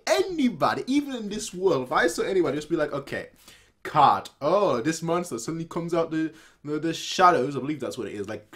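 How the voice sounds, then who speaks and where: Casual male reactor in his twenties, very lively intonation, thinks aloud in stop-start repeats. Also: on the high side, husky. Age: 20s. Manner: halting, repeats words while thinking aloud. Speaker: reaction video host. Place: small room at home, indoors.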